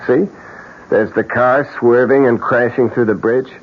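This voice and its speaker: An actor playing an eccentric, sardonic painter with a theatrical, mock-courteous manner in a radio drama. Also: male voice, medium pitch, fairly even intonation, measured pace. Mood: gleefully morbid. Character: eccentric, sardonic male painter, cheerfully morbid. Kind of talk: old-time radio drama acting. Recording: muffled, faintly hissy old radio recording.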